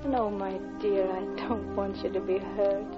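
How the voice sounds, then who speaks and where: A woman's voice: mid-range voice, little pitch movement, a little slow, natural voice. Woman playing guilt-laden English wife, intimate, wistful, 1940s radio monologue, music behind, muffled vintage sound. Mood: tender, anguished. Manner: intimate, wistful, hushed confession. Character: guilt-laden, tender English wife confiding silently to her husband. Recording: dull vintage radio broadcast recording.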